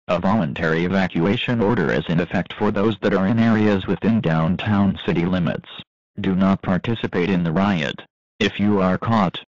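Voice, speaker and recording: A man's synthetic voice reading an emergency alert in a flat, even manner. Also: low-pitched, no room sound, muffled recording.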